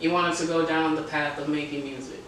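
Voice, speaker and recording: A woman's voice: sits low, fairly even intonation, fairly quick, fairly bright tone. A young woman hosting a casual interview, chatting and asking questions. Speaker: interviewer and host. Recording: very echoey room, distant mic, faint noise.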